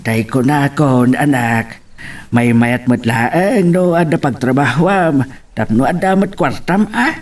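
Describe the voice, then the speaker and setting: A man's voice: medium pitch, lively intonation, unhurried, lightly acted. A man acting a humble, agreeable middle-aged village father in an Ilocano audio drama. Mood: fatherly, approving. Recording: slightly distant from mic.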